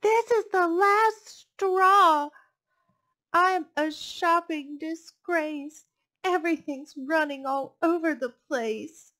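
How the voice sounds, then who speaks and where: Woman putting on a frazzled, cranky, high-voiced lady shopper. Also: slow, bright, forceful tone, full character voice. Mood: exasperated. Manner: exasperated, emphatic.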